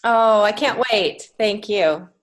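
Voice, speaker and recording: A woman's voice: mid-range voice, slow pace. A woman speaking as a guest in a conversational interview, explaining things earnestly. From United States. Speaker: podcast guest, children's author and creative writing teacher.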